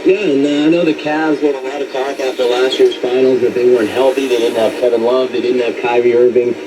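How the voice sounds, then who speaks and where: A man's voice: medium pitch, fairly even intonation, a little fast, crowd noise. A man working as a sports pundit, giving fluent, explanatory basketball analysis over shortwave radio. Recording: noisy shortwave radio broadcast.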